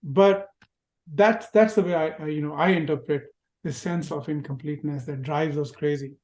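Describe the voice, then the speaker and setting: Indian-accented male teacher, mellow and a touch high, lecturing gently in a roomy echo on a video call. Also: steady tone, normal speed. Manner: reflective, gentle, with rhetorical questions. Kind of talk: spiritual lecture to an online class.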